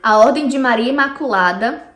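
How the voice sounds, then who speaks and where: Brazilian woman chatting to her viewers, kinda high voice, echoey room w/ faint music. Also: fairly quick, fairly bright tone. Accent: Brazilian Portuguese. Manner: chatty, addresses viewers directly. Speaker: vlogger. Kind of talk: casual explainer vlog. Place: lives in Canada.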